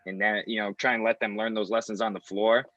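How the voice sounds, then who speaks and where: A man's voice: lowish voice, level tone, quick pace, a bit bright, no echo. A man who is an assistant basketball coach, answering in a loose, reflective way full of filler words. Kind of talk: podcast interview answers. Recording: dry, dull lo-fi sound.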